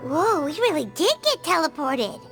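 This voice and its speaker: A woman voicing a tiny, excitable, childlike fairy companion in a video game, chirpy and eager. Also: high-pitched, normal speed, soft background music, heavily acted. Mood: surprised. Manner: speaks of self in third person, eager, chirpy. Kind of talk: animated video game character acting.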